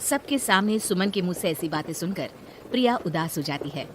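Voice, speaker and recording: A woman's voice: on the low side, lively intonation, a little fast, background noise. A woman reading scripted fairy tale narration as the storyteller.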